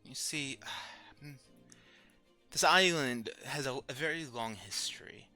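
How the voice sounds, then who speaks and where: A man's voice: medium pitch, slow, bright, forceful tone, soft background music. A man playing a courteous, self-assured island host in a tabletop game, gracious, a little vain and hesitant.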